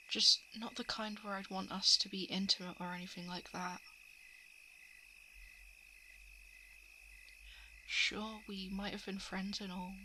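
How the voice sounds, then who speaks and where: Woman acting as soft, hesitant shapeshifter posing as a crush, crickets behind. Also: mid-range voice, a little hiss or hum. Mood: wistful confessing. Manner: soft, hesitant, often slipping into whispers. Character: shapeshifting monster posing as a lovelorn crush, coaxing. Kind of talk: ASMR roleplay, intimate confession. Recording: night insect and frog ambience. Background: crickets, frogs and insects.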